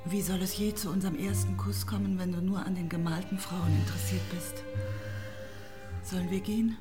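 A woman's voice: on the low side, steady tone, fairly quick, music in the background, natural voice. An actress playing a poised, teasing woman musician in a radio drama, speaking in a light, composed, natural way. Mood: teasing, intimate.

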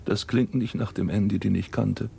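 Very gravelly, low fatherly priest. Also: fairly even intonation, moderate pace, warm tone, a little hiss or hum. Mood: calm, kindly. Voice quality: deep, very creaky. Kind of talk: radio drama dialogue.

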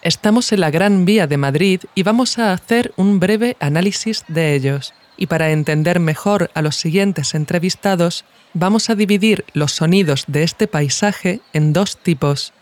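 A woman's voice: on the low side, normal speed, darkish tone. A woman from reading scripted documentary narration as the host of an audio podcast.

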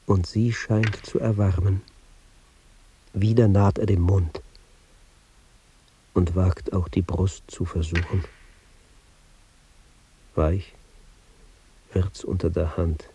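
An actor in a radio drama playing a solemn, tender reciter of ancient verse, with measured, intimate, hushed phrasing. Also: male voice, low voice, flat delivery, a little hiss or hum, low-quality recording. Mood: tender, reverent.

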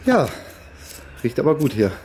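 An actor playing a naive, bumbling young amateur sleuth in a crime radio play, talking casually and haltingly. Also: male voice, medium pitch, wildly swooping pitch, darkish tone, a little hiss or hum. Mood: awkward, probing. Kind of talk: naturalistic German radio crime drama.

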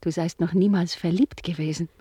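A soft, breathy woman's voice with a mellow tone, close and dry. a married village woman in a radio drama, coy and seductive, with a show of innocence. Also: fairly low pitch, fairly even intonation, brisk, no room sound. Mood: coy, teasing. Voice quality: breathy, hushed. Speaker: actress. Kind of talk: German radio play acting, intimate dialogue.

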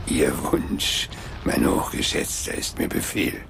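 An actor playing a falsely courteous, mocking villain in a theatrical radio drama, oily and mock-servile. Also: male voice, slow pace, a bit bright, a little hiss or hum. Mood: mocking politeness. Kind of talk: German radio drama acting.

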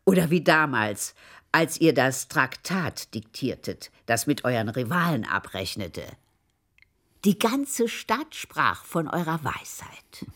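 An actress playing a devout, tender, grieving servant woman in a German radio play, moving between hushed and insistent delivery. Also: rumbling low, very animated, slow, heavily acted. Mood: soft, soothing.

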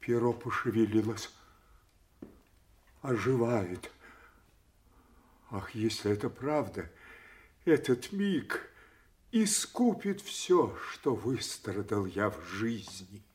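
An actor playing an old king broken by grief, speaking slowly and swinging between hushed despair and anguished cries in a classic radio play. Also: fairly low pitch, lively intonation. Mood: desperate hope. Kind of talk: classic stage radio acting.

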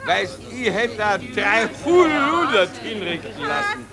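High, slow, smug Austrian officer. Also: male voice, big pitch swings, exaggerated voice. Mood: smug, righteous. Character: self-righteous, callous Austrian military judge officer. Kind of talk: 1940s German radio acting. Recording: old radio recording, crowd noise.

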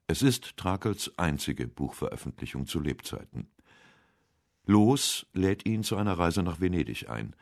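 A man narrating a scripted literary biography for radio in a measured, articulate way. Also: low-pitched, big pitch swings, gravelly, a little mellow, dry recording, clean studio sound.

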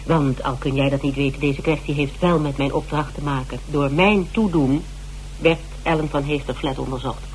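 An actress from a radio drama playing a bossy, teasing amateur detective, speaking Netherlands Dutch in a pushy, bantering way. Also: female voice, sits low, fairly even intonation, normal speed, lightly acted. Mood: brisk. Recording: noisy, humming radio broadcast recording.

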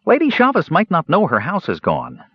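A squeaky, restless little kender fellow, as a male narrator puts him on, springing up high and leaping all over the scale. His voice is husky and dry, heard on a dull, lo-fi storybook recording. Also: wildly swooping pitch, normal speed, no echo, heavily acted. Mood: chirpy. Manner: chirpy, high and indignant.

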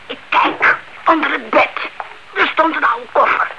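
Fretful, high-voiced old aunt. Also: female voice, a little slow, vocal fry. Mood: anxious, searching. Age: older. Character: anxious, stubborn, suspicious old aunt playing detective. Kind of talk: radio drama acting. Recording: muffled, very noisy old radio recording.

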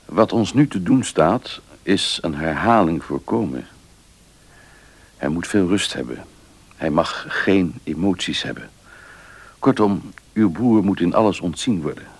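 An actor playing a grave, authoritative family doctor, formal and measured, in a Dutch radio play. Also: male voice, low-pitched, lively intonation, vocal fry, husky, no echo, heavily acted.

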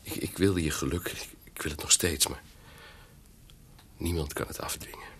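An actor playing a sincere, tender suitor in a Dutch radio drama, husky and breathy, halting and repeating his words. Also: rumbling low, fairly bright tone, in a whisper. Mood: resigned, hurt.

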